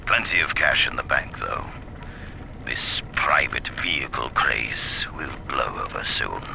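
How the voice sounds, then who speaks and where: An aging railway boss in a game audio diary, wistful and stubborn. His voice is extremely deep and full of gravel, unhurried, on a noisy old recording. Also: heavily acted. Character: aging, left-behind train company owner, wistfully bitter.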